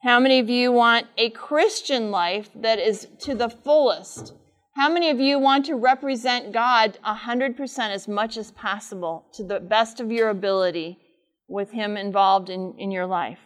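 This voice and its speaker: A middle-aged woman speaks at a live conference with a loud, fairly high voice. She keeps turning to the audience with questions and pushes them to answer. Her delivery is a teacher's, direct and projected. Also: moderate pace. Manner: asks the audience frequent questions; says she has a loud voice. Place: large conference room with air conditioner.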